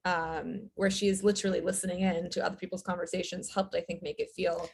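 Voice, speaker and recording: Young woman guest on a podcast, a bit husky, chatty, um-ing a lot. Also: on the low side, little pitch movement, fast talker. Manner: chatty, laughs often, many fillers. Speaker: audio drama writer, guest. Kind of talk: casual podcast interview.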